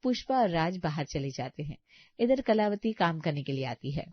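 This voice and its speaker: A woman's voice: deep voice, sing-song, normal speed, husky, no room sound, highs cut off. A woman reading scripted narration as a storyteller.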